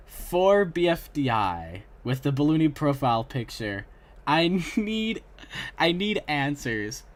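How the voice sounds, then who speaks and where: A young man who is a streamer, chatting casually and at rambling length as he reacts to videos for his live viewers. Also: fairly high pitch. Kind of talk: casual livestream chat and reaction.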